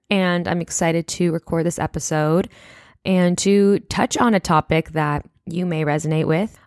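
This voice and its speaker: Woman podcast host, twenties, low voice, congested, reflective chat, dry close sound. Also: dry recording. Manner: warm, reflective and chatty. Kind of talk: solo podcast talk.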